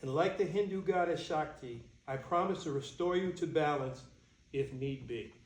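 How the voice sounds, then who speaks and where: Older groom, male stage reader: higher-than-average voice, dry-humoured, easygoing but stubborn, roomy echo. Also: moderate pace, close to an everyday voice. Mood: tender. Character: Adam, easygoing older groom, gently stubborn about cell phones. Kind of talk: staged reading of a play. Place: indoor room, staged reading. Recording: echoey room.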